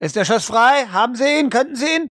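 Military commander, played by a man: clipped, urgent orders, raised pitch, tense sharp tone, radio-play acting. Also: animated, fairly quick, no room sound, studio quality. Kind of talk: role-play audio drama.

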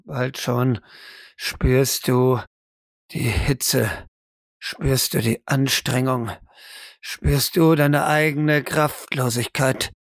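A man narrating dramatically as game master of an improvised role-play audio drama, with deliberate, suspenseful phrasing. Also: medium pitch, fairly even intonation, no room sound, clean studio sound.

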